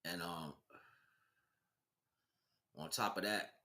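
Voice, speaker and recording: A man's voice: low voice, takes it slowly, sharp tone. A man hosting a livestream with a casual, opinionated monologue, speaking in an emphatic, streetwise, colloquial way. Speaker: host of spiritual livestream.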